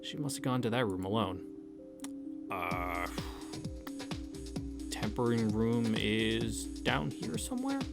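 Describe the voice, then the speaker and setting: Animated low-voiced man, casual game read-through. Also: slow, creaky, long pauses, loud music behind the voice. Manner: irreverent, crude, joking. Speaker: streamer reading a visual novel aloud.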